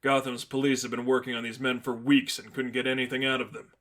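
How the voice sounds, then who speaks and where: A man's voice: mid-range voice, little pitch movement, fast talker, a bit bright, lightly acted. A man voicing a stern, unamused masked vigilante who speaks seriously and tersely in a story reading.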